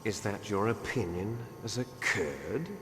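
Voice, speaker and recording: A man's voice: on the low side, lively intonation, measured pace, a bit bright, a little hiss or hum. An actor playing an imperious, menacing male terrorist commander in a video game, with a theatrical, taunting delivery. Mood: grim, commanding. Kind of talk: dramatic video game voice acting. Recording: long hall-like reverb. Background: music.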